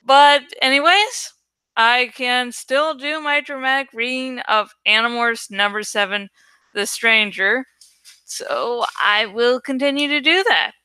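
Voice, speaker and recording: A woman hosting a livestream reading of a novel, speaking in an expressive, deliberate way with casual, dramatic delivery. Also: on the high side, clear, resonant tone, no room sound. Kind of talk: dramatic audiobook-style reading with casual asides.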